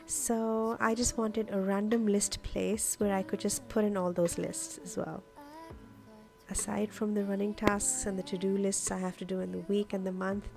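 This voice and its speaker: Creaky-voiced woman narrating, native Urdu speaker. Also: mid-range voice. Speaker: journaling vlogger, host. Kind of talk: casual explanatory voice-over narration of a craft process. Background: music.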